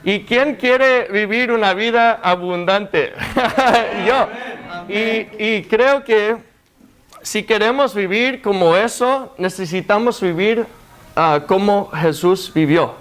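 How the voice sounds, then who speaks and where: A man's voice: sits high, slow, a bit bright. A young American man whose first language is English, preaching a hesitant sermon in a second language with many fillers and restarts, speaking to a congregation. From United States. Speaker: guest preacher.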